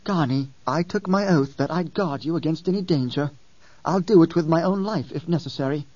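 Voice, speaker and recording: An earnest, distressed woman who begs and pleads for the child in her care, as a male narrator raises his pitch for her. The sound is dull and set back from the mic, dry with almost no reverb. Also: brisk, a little mellow, natural voice. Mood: pleading distress. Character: anxious devoted adult woman, a guardian.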